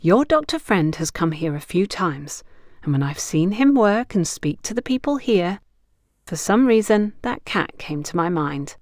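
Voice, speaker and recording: A woman's voice: lowish voice, sing-song, unhurried, darkish tone, no echo, clean studio sound. A woman narrator voicing a frank, teasing female confidante who gives knowing advice in an audiobook. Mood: reflective, advising. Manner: playful, knowing, instructive. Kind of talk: audiobook character dialogue.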